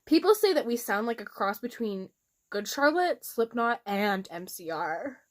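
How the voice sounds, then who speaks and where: A woman's voice: on the high side, very animated, unhurried. A woman doing a mock-dramatic fan fiction reading with casual asides, giggly and theatrical.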